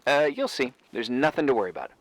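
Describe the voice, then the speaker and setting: An actor playing an awkward, absent-minded but helpful man, hesitant and gently joking, in a naturalistic video game style. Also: medium pitch, very animated, fast talker. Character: awkward, absent-minded, eager-to-help workaholic man on the phone.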